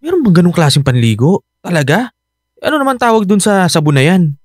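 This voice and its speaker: An actor playing a busy, impatient husband with a Filipino accent, curt and exasperated in a radio drama. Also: male voice, high-pitched, sing-song, brisk, dry recording, clean studio sound. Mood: distracted, curt. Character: busy, impatient, irritable husband worn down by his wife.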